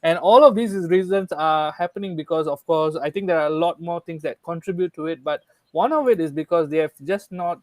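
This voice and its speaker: A man explaining ideas in a relaxed podcast conversation, earnest and thorough, often checking in with "right?". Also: fairly high pitch, fairly quick, dry recording. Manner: earnest, explanatory, frequent "right?" tag questions. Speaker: guest speaker.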